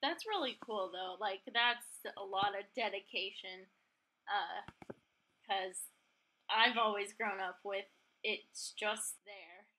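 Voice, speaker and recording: A woman's voice: mid-range voice, slow pace, bright, forceful tone, rough recording. A young American woman hosting a podcast in a casual, conversational style. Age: young adult. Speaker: podcast host.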